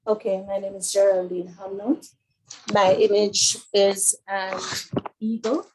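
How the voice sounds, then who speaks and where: A woman's voice: mid-range voice, fairly even intonation, a little slow, a little mellow, a little hiss or hum, low-quality recording. A woman student giving a short, plain introduction of herself on a video call. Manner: plain, brief self-introduction.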